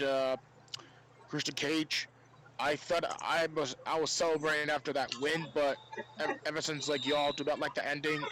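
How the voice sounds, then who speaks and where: A man talks casually as a podcast panelist with a bright, forward voice that sits a little high. He stumbles and repeats words, and there is faint noise behind him. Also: poor audio. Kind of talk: casual fan chat.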